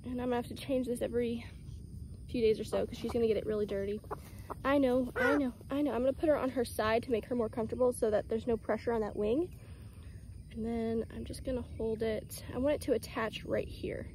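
A young woman with a Southern US accent, talking casually through a how-to farm vlog, full of "um"s and soft, soothing words to her animals. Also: a little high, steady tone, a little fast, noisy background. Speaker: vlogger caring for farm animals. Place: outdoors on a farm by animal pens. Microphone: phone camera held close, selfie framing.

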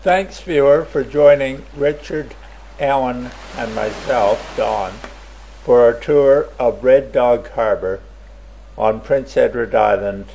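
A man narrating a travel video in a plain, measured voice-over. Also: medium pitch, creaky, mellow, a little hiss or hum, rough recording. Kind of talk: slow scripted travel voice-over.

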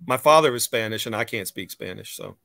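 A man's voice: lowish voice, a little fast, gravelly, a bit bright, dry recording, studio quality. A man in his fifties hosting a casual interview over a video call, thinking aloud in long, rambling sentences. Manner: thoughtful, rambling, many fillers and restarts. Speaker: host, musician. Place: home room, indoors. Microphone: webcam on a video call.

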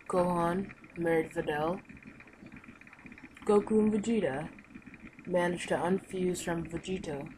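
A woman narrating an improvised gaming roleplay in a hesitant way, with sentences that trail off. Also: on the low side. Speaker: gaming video creator playing a roleplay character. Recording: clearly noisy home recording.

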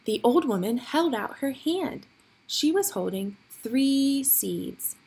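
A woman reading a picture book aloud for young children, with expressive, deliberate storytelling phrasing. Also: sits high, husky.

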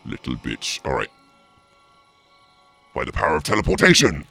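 Very deep, gravelly man through a voice changer. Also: wildly swooping pitch, normal speed, sharp tone, no echo, soft background music. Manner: theatrical mock-menacing taunts mixed with muttering. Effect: pitched-down voice changer. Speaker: streamer playing a game as the killer. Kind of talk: livestream game commentary, taunting and sweary.

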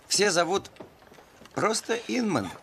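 Clear noise surrounds a taciturn young countryman. He is shy and reserved, giving short, guarded replies in a film drama manner. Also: high voice, sing-song, slow pace, exaggerated voice. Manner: terse, reserved.

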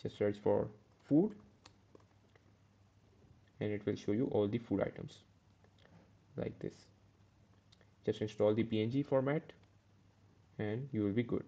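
A man's voice: on the low side, mellow, dull audio. A man teaching a step-by-step coding tutorial over a screen recording, correcting himself as he goes. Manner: step-by-step, self-correcting with "uh" and "okay". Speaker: programming tutor.

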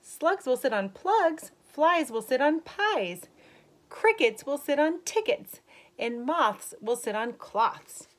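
A woman's voice: sits high, sing-song, pitched above the performer's own voice, exaggerated voice. A woman doing a sing-song rhyming frog. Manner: slow, sing-song, emphatic rhymes. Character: cheerful rhyming frog, bold and playful.

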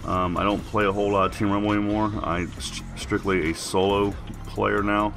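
Low, bright-voiced man hosting, slightly under the weather. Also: little pitch movement, moderate pace, creaky, soft background music. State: getting over a cold. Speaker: gaming video host. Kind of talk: casual game walkthrough commentary.

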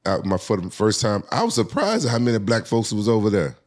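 Fast-talking low-voiced male host. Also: very animated. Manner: chatty, repeats "you know what I'm saying". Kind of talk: casual podcast chat.

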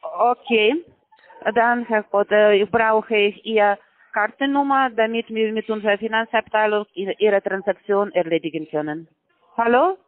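A man as a phone scammer, speaking halting, broken German patiently and repetitively on a phone call. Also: sits high, steady tone, no room sound. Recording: tinny phone line.

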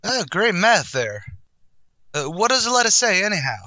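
A sardonic tavern waiter. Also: male voice, high voice, sing-song, normal speed, bright, forceful tone, dry recording, exaggerated voice. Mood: sarcastic. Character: dry-witted male tavern waiter, sardonic. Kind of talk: 1940s radio comedy acting.

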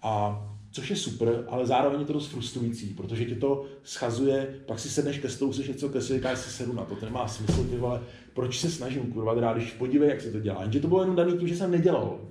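A man's voice: medium pitch, dark tone, slight background noise. A man in his forties talking casually and candidly as an interview guest, rambling informally. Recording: echoey room.

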